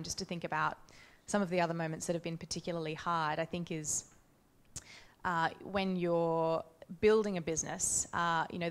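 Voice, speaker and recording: Woman panellist, Australian, low flat voice, measured and reflective. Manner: measured, thoughtful, with frequent restarts. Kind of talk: personal reflection on a conference panel. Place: conference hall.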